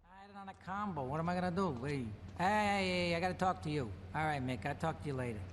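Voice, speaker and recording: Casting: male actor as high-voiced New nightclub gangster for a crime game, bossy, curt, orders everyone about. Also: fast, slight background noise, close to an everyday voice. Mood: brisk. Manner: curt, commanding. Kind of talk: video game cutscene acting.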